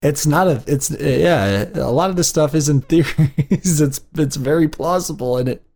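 A man's voice: on the high side, a little slow, darkish tone. A man co-hosting a podcast in casual chat, jokey and laughing often. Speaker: podcast co-host.

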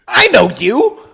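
A man voicing an overexcited tiny male stranger who talks loudly and repeats himself. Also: very high voice, gravelly, slightly rough, pitched above the performer's own voice, exaggerated voice. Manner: loud, excited, repetitive.

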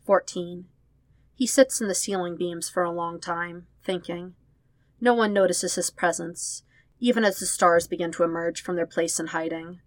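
A woman's voice: lowish voice, fairly even intonation, moderate pace, no room sound. A woman reading fan fiction aloud as a scripted story narration. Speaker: podfic narrator.

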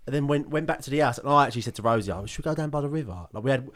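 A man's voice: mid-range voice, animated, rapid-fire, a bit bright. A young man, co-hosting a podcast in casual banter, rambling, laughing often and restarting his sentences. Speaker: podcast co-host.

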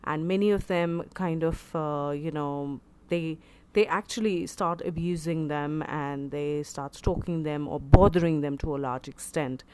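A woman with an Indian English accent hosting a casual chat, animated and exclamatory, slipping into Hindi. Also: low-pitched, a little slow, a little hiss or hum. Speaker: interview host.

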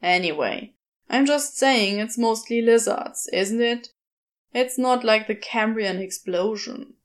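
A woman acts out a sardonic demon in a velociraptor's body for a storybook. He grumbles and rambles in exasperation, with a sweary streak. The voice sits fairly high for a woman. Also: slow. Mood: grumbling. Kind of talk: storybook character voice in audio fiction.

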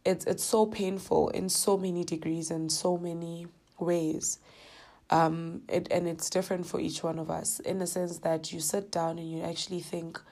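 A woman with a South African accent hosting her own podcast, slightly hoarse from a coming cold, talking in an earnest, heartfelt way with lots of "you know"s. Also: fairly low pitch, flat delivery, normal speed, warm tone. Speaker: podcast host. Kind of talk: reflective personal podcast talk.

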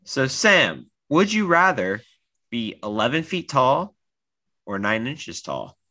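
A man's voice: medium pitch, big pitch swings, takes it slowly, pushed and bright. A man co-hosting a podcast in casual chat, easygoing and hedging as he talks. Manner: easygoing, hedging with lots of "like" and restarts. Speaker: podcast co-host.

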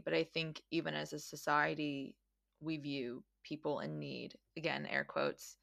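Woman podcast host, low voice, kinda gravelly, chatty and thoughtful w lots of restarts. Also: sharp tone. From Mexican American. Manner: thoughtful, many restarts and fillers. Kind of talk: casual conversational interview. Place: Australia.

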